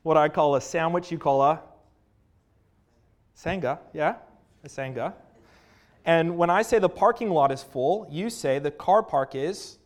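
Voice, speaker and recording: A man with a Canadian accent preaching a sermon, reading scripture and praying in an earnest manner with frequent pauses and fillers. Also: on the high side.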